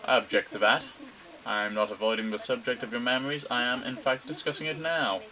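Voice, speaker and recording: A man voicing a gleeful, sinister male robot bear headmaster who lectures in a mocking, theatrical, drawn-out way. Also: mid-range voice, fairly even intonation, dull audio, lightly acted. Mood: sinister lecturing. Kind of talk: video game dub reading.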